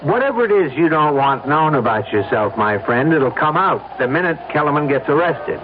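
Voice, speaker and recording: Higher-pitched needling radio-drama schemer. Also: male voice, big pitch swings, normal speed. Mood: taunting, insistent. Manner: needling, insistent. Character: scheming, taunting, coolly persuasive male conspirator. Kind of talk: old-time radio drama acting. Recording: tinny, faintly noisy old radio broadcast.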